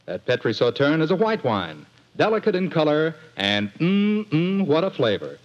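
A man's voice: a little high, huge pitch swings, normal speed. A man announcing a 1940s radio show and reading a live commercial in a chummy, upbeat sales patter. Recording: dull 1940s radio broadcast.

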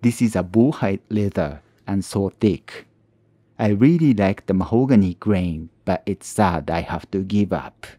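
A man with a lowish, mellow voice talks through a hand-tool woodworking project as a calm tutorial voice-over. His phrasing is plain and slightly non-native, and the sound is dry and close, with no room around it. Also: a little slow, dry recording. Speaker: woodworking channel host.

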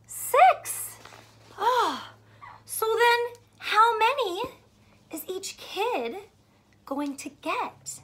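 A young woman teacher doing a read-aloud math lesson for children, animated and full of questions, speaking clearly for kids. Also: extremely high pitch, drawn-out words, pauses often, slight background noise. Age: young adult. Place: small furnished room at home, indoors.

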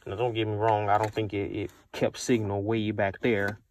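A man's voice: fairly low pitch, flat delivery, moderate pace, darkish tone. An American man giving a casual, unscripted product review in a conversational way, repeating himself for emphasis.

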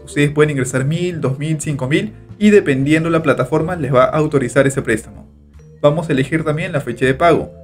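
Man, tutorial voice-over, slightly high voice, faint music underneath. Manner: steady instructional narration, repeats click steps. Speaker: tutorial host. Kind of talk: screen-recorded step-by-step tutorial. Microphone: voice-over over screen recording.

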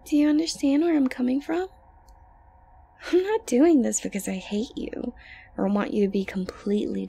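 Girl doing a creepy sweet yandere gf asmr, slow n breathy, kinda crackly, whispery, possessive and dominant. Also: high-pitched, mellow, exaggerated voice. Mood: obsessive, menacing. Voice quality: breathy, often dropping to a whisper. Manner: slow, coaxing, condescendingly sweet. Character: obsessive, dominant, sadistic yandere girlfriend, creepily tender. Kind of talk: ASMR roleplay monologue to the listener.

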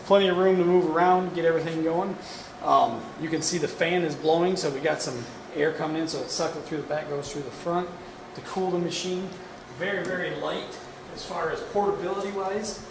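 Middle-aged man, slightly high voice, gravelly edge, informal practical product demo. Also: fairly even intonation, a little fast. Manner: informal, plain-spoken, practical. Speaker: product reviewer, welding demonstrator. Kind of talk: casual hands-on product demonstration. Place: indoor workshop demo area with hanging curtains. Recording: echoey room, loud constant fan hum. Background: machine fan and ventilation noise.

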